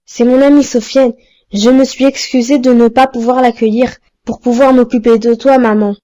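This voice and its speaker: A woman plays a kind cartoon boy with a lightly high, warm-toned voice. He sounds devoted and well-mannered, choosing polite, slightly formal words. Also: flat delivery, normal speed, close to an everyday voice. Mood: devoted, gentle. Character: devoted, gentle, courteous young son and friend. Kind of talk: didactic cartoon dialogue.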